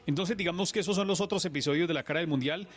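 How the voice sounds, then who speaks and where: A Colombian radio sports journalist, a man, telling his stories in an earnest, animated way during a radio interview. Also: sits high, very fast.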